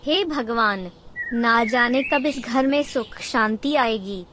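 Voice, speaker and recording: Lady doing a naggy old bird mother in law, cartoon, really high voice, slow whiny complaints. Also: background noise. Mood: weary, irritable. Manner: complaining, taunting, drawn-out dramatic delivery. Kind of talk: Hindi cartoon character acting.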